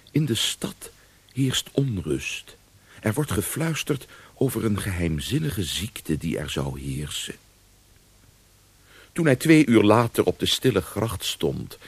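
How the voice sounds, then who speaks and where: A man playing a grave storyteller, narrating a historical radio drama in a measured, dramatic way. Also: on the low side, breathy edge, exaggerated voice.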